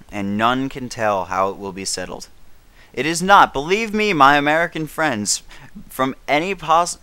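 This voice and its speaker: Man acting a bright-voiced, declamatory wartime British statesman. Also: medium pitch, smooth, no room sound. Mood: solemn, urgent. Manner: declamatory, emphatic, dramatic stresses, occasional stumbles. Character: grave, defiant elder British statesman making wartime broadcast. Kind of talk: 1930s wartime political radio address, oratorical.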